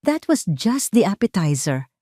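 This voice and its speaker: A woman voicing a composed, determined wronged wife in an audio drama, calm and commanding. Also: medium pitch, a little slow, no room sound, clean studio sound. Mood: coldly controlled.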